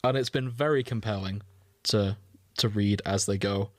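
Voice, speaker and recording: A man's voice: on the low side, normal speed, no echo. A man co-hosting a podcast in casual chat, wandering off on tangents as he talks. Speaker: podcast co-host.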